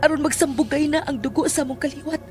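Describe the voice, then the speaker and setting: A woman acting as an intense young woman in a horror radio play. Her voice is high and full of longing. Music plays clearly beneath her. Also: steady tone, quick pace, lightly acted. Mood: intense pleading. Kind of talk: horror audio drama acting in Cebuano. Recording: music under the voice.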